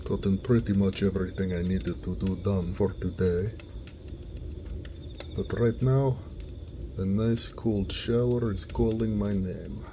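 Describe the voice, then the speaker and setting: A man voicing a gentle, hardworking orc blacksmith in an audio roleplay, weary and good-natured, musing to himself in a homely way. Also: low voice, fairly even intonation, measured pace, a little hiss or hum. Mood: tired.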